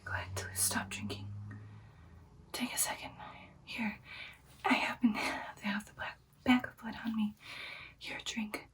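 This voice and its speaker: A woman playing a caring, anxious vampire girlfriend in a whispered ASMR roleplay, speaking softly and haltingly in a soothing way. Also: a little high, little pitch movement, far from the mic. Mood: concerned, coaxing. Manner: soft, hushed, halting, soothing personal attention.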